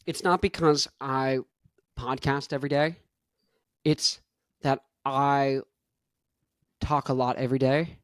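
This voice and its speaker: A young man hosting his own podcast in an energetic, rambling monologue full of "like". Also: medium pitch, slow pace, long pauses, dry recording, studio quality. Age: young adult. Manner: rambling, energetic, heavy use of "like". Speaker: podcast host.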